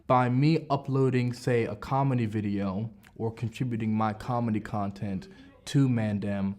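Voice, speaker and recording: Measured young comedian, lowish voice. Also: male voice, a little mellow. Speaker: writer and comedian. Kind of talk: documentary interview.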